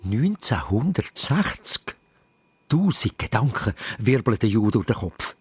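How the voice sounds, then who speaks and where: A man's voice: mid-range voice, big pitch swings, a little slow, husky, no echo. A man with a Swiss German accent narrating a children's story dramatically, in short, suspenseful phrases. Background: music.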